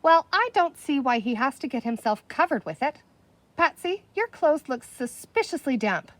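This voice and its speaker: A woman's voice: sits high, big pitch swings, normal speed, fairly bright tone, no room sound, voice pushed up. A woman reading a children's storybook, voicing a stern, sceptical mother with a severe, scolding manner. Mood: stern, questioning.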